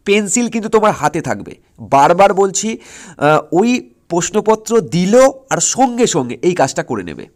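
A man with a high-pitched voice and a West Bengal Bengali accent gives an informal exam lesson. He explains each step instructively, restates key points and punctuates them with short check-in questions. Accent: Indian Bengali, West Bengal. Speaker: exam-prep history teacher on an online channel.

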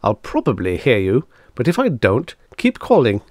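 A man's voice: medium pitch, huge pitch swings, normal speed, a little mellow, dry recording, pitched above the performer's own voice. A man voicing a proud, suave devil in an audiobook, lecturing in a matter-of-fact, condescending way as he bargains. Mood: lofty, confident. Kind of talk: audiobook character dialogue.

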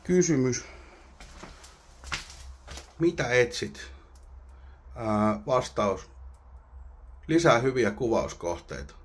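A man's voice: mid-range voice, big pitch swings, long pauses, husky. Man muttering through an abandoned cabin. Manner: muttering, wondering aloud while poking around. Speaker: abandoned-places explorer. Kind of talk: casual exploration vlog commentary. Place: abandoned wooden cabin, indoors.

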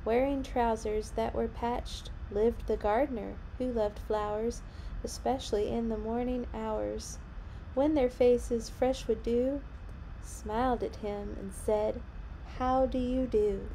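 Young lady doing kids storytime, really slow n mellow, expressive w little asides, bit of noise in the room. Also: a little high, low-quality recording. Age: young adult. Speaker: children's story reader. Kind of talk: animated read-aloud of picture books for children. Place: small room at home, indoors. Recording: noticeable background noise, home recording.

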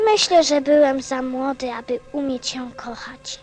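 Radio play: sensitive little boy prince. Very high, unhurried, earnest and childlike. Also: over music. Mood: regretful, wistful. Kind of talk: radio drama acting.

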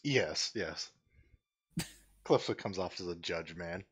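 A man's voice: low voice, expressive, a little slow, fairly bright tone, husky. A man giving casual, joking commentary as he reacts to video game cutscenes. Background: faint game music.

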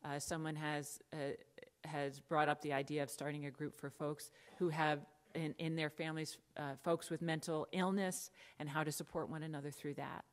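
Low-voiced American woman pastor preaching. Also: little pitch movement, normal speed. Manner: conversational preaching with asides and emphatic lists. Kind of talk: sermon. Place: church sanctuary, at the pulpit.

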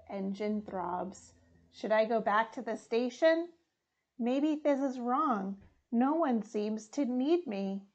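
Slow, high-voiced young woman reading aloud. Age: young adult. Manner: deliberate, expressive reading. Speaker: firefighter reading a storybook. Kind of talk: children's storybook read-aloud. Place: small room indoors.

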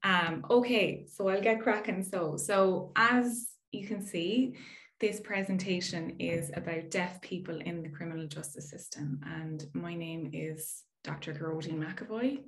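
A woman's voice: fairly low pitch, unhurried, fairly bright tone. Chatty Irish woman presenting on a call. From Ireland. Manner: friendly, chatty, frequent "um" fillers. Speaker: researcher giving a talk. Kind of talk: casual presentation over video call. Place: indoor room at home. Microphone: video call.

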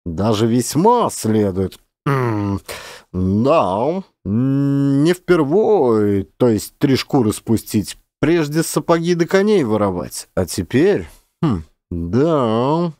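A man voicing a hesitant village elder in a storybook reading, halting and mumbling in folksy speech. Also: medium pitch, big pitch swings, warm tone, no room sound, clean studio sound. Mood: hesitant, agreeing.

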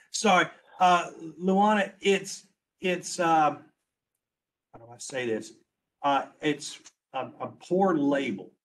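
A man presenting on a webinar as a transportation planner, losing his voice and talking haltingly, restarting his words. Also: on the high side, rough recording. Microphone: video call.

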